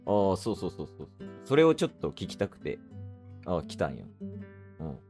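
A man acts a friendly teenage boy with a Kansai accent in an improvised radio drama. The voice is low and animated. He talks haltingly and casually, a little flustered, over faint music. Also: exaggerated voice. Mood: sincere, nervous. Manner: halting, casual one-sided conversation.

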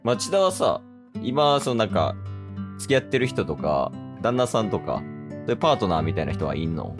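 A man's voice: on the low side, big pitch swings, a little fast. A man with a Kansai accent playing a friendly young man casually and hesitantly asking an old friend out in an improvised radio drama. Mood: tentative, inviting. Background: plucked guitar music.

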